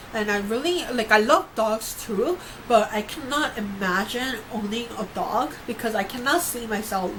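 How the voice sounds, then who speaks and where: A young woman who grew up in Korea and New York, chatting casually to camera in a vlog, with lots of fillers and restarts. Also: mid-range voice. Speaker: YouTuber. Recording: noisy home recording.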